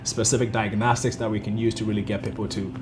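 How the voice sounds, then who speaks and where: A middle-aged man from Ghana, a physician, talking earnestly in a casual interview and often agreeing with a quick "yes, yes". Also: on the low side, flat delivery, noisy background. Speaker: physician guest.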